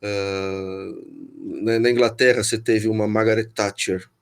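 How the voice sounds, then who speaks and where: A man's voice: on the low side, little pitch movement, clear, resonant tone. A man from São Paulo city with a Paulistano Brazilian Portuguese accent, a guest in a political interview, thinking aloud and often restarting sentences. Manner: thoughtful, restarts often, pauses to find words.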